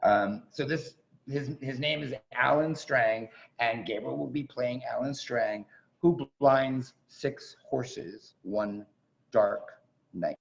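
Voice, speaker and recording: A man with a gravelly, creaky voice of middle pitch, hosting a theatre evening. He makes informal introductions in an effusive, chatty way. The audio is dull, as if from a video call. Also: slow pace. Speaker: host of a staged reading event.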